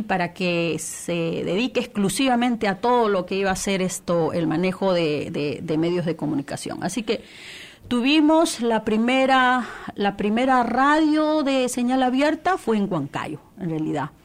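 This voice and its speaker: A woman's voice: medium pitch, expressive, normal speed. A woman from Peru, a church radio coordinator, giving an earnest interview testimony with many restarts and fillers.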